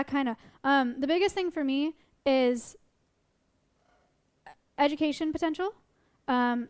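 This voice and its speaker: Streamer woman in her twenties, chatty and informal, voice fading and hoarse, high clear tone. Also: darkish tone, no echo, studio quality. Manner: chatty, with frequent ums and restarts. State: losing her voice. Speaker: streamer answering chat questions about sanctuary animals. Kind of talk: casual livestream chat.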